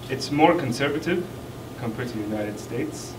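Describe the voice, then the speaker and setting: A man from Kuwait, an international student speaking on a discussion panel in an earnest, measured way. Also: medium pitch. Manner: earnest, measured, pausing often. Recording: roomy, distant mic, noisy hall.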